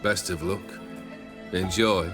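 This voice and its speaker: A man's voice: low-pitched, fairly even intonation, slow, music in the background. An actor playing a haughty, skeptical nobleman in a video game, mocking and imperious. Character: haughty, sardonic cursed nobleman, male, skeptical.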